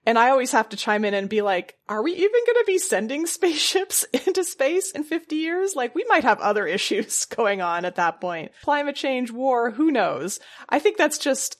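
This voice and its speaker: A woman's voice: sits high, sing-song, moderate pace, few pauses. Chatty woman podcast co-host. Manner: chatty, laughs often. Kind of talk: casual chat.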